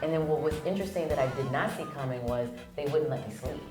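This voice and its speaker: A woman actress and producer, chatting as an interview guest, telling stories with lively acted-out quotes. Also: sits low, fast talker, faint music behind. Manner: animated storyteller who acts out quoted lines. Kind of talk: casual interview chat.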